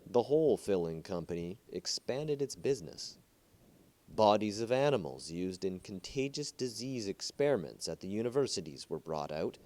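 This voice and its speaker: A man reads a short story aloud as an amateur narrator. His voice sits fairly low, and he phrases the prose in a measured, deliberate storyteller's way. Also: mellow. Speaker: amateur reader of short stories.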